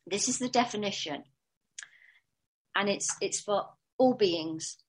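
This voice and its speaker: A woman dog trainer teaching a workshop in a calm, steady voice that she pushes from the diaphragm. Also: mid-range voice.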